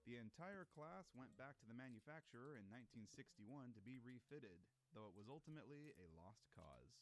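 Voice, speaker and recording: A man's voice: medium pitch, lively intonation, a little fast. A man reading scripted documentary-style narration in an enthusiastic, emphatic explainer manner.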